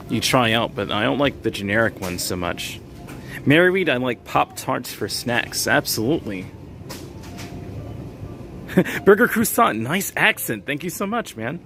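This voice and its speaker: A man talks casually to his livestream viewers as he walks the aisles of a grocery store. His voice sits at an ordinary middle pitch, relaxed and chatty, with plenty of fillers. Clear store background noise runs under every line. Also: measured pace, pauses often. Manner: chatty, reads chat names aloud, frequent fillers and small laughs. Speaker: livestream host. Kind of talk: casual live-stream chat while shopping.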